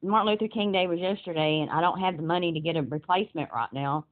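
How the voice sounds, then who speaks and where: A woman phoning to make an inquiry, speaking haltingly and repeating herself. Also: lowish voice. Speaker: caller requesting a police report. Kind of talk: phone inquiry, recorded by the caller. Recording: muffled, narrow telephone call.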